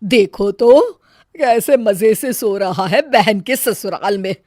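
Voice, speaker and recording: Woman as scheming older mother-in-law for a cartoon story, loud, snide asides. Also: medium pitch, takes it slowly, no echo, clean studio sound. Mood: gloating. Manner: muttering sarcastic asides, loud and scolding. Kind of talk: animated story voice acting.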